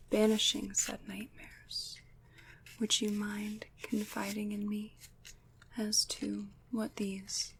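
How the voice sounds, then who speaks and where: A woman playing a gentle, soothing witch in an ASMR roleplay, whispering softly and breathily with tender, formal, old-fashioned phrasing. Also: medium pitch, gravelly, slight background noise, poor audio. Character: gentle, soothing female witch, caring and slightly mysterious.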